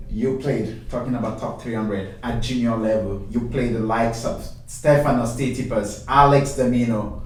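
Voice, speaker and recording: Male talk show host, mid-pitched and effusive, heavy room echo, distant mic. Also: a little mellow. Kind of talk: interview.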